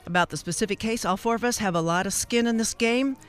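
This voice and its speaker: A woman hosting a live radio interview show, with a wordy, halting delivery that wanders into asides. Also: mid-range voice, smooth, soft background music.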